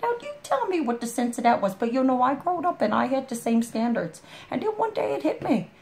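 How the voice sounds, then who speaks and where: Hoarse, gravelly folksy woman. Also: sits high, sing-song, fairly quick, a little mellow. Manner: rambling, folksy, full of "you know". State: hoarse from a chest cold. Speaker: storytime vlogger. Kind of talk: casual heartfelt chat to a friend.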